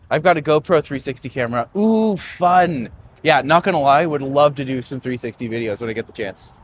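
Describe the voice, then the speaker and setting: A man's voice: medium pitch, expressive, normal speed, a little hiss or hum. Young guy tour guide rambling on a live stream, lots of likes and ums, street sounds faint behind. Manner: chatty and rambling, heavy on fillers. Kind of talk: casual live-stream chat on a walking tour. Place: outdoors on a city street.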